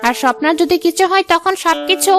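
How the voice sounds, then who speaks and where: A scheming older stepmother from a cartoon, acted by a woman, very high and piercing in pitch. She is greedy and calculating as she coaxes, over faint background music. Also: brisk, a bit bright. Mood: coaxing, calculating. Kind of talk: Bengali cartoon dialogue.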